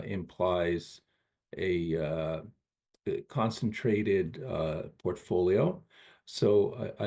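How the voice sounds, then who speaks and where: Low husky guy asking analyst questions on a conference call, slow and hesitant. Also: a little mellow. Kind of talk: prepared questions, spoken live.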